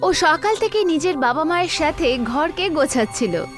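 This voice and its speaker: A woman narrating a scripted horror story for an animated tale. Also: sits high, moderate pace, over music.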